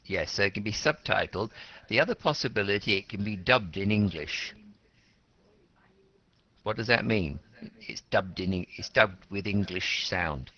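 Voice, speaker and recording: A man's voice: lowish voice, lively intonation, unhurried, pushed and bright. A man teaching an English class, questioning students and explaining words simply with repetition and rephrasing. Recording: dull, lo-fi classroom recording.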